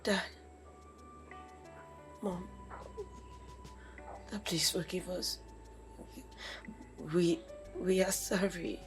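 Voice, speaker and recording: A woman's voice: very high voice, lively intonation, normal speed, pauses often, faint music behind. An actress playing a young princess who pleads tearfully with her parents. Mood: begging. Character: young princess, beaten by her twin brother.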